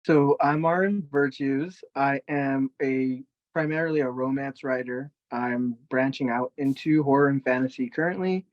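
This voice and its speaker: An American speaker with a mid-pitched voice gives an unscripted introduction on a panel. The sound is dry and slightly muffled. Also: moderate pace, hardly any pauses, no room sound. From New Mexico, United States. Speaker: panelist, romance writer. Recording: dry, muffled call audio.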